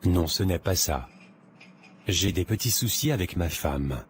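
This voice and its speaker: A very deep male voice with faint noise in the background. A man acts a troubled young man in an animated drama, brooding and grave in delivery. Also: a little slow. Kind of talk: dramatic dialogue in an animated short.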